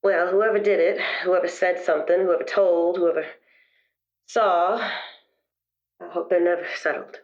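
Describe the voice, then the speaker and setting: A woman playing a weary middle-aged therapist who loves gardening, musing haltingly and reflectively in improvised roleplay, gentle with a quiet bitterness. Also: fairly low pitch, steady tone, lo-fi sound. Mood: quietly bitter. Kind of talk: improvised in-character roleplay for an actual play podcast.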